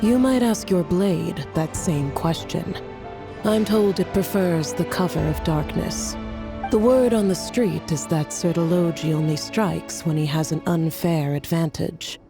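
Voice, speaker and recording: A woman's voice: lowish voice, unhurried. A woman voicing a suave, sardonic political schemer in a video game, speaking with arch, taunting politeness. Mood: smug, taunting. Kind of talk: dramatic video game voice acting. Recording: music playing behind the voice.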